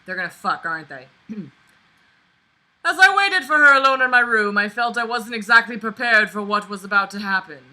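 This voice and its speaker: A young woman with a strikingly bright, sharp voice reads aloud in a casual way. She keeps interrupting the text with mocking commentary. Her manner is sarcastic, exasperated and freely sweary. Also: fairly high pitch, lively intonation, brisk, rough recording. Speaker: online book reader and commentator.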